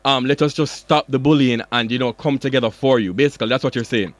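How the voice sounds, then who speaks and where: A man hosting a live radio interview, calmly summarizing and steering the guest with questions. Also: medium pitch, quick pace, no echo. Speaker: radio show host. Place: radio studio.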